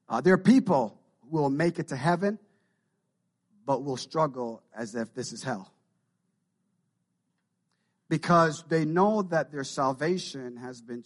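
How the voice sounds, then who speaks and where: A man with a Jamaican Canadian accent preaching a sermon to his congregation, often repeating and building his phrases. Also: medium pitch. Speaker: pastor.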